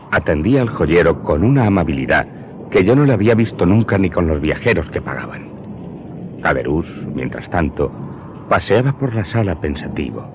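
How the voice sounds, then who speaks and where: Storyteller: low male eyewitness narrator for a dark radio drama, dull noisy recording. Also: full character voice. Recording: dull, clearly noisy audio.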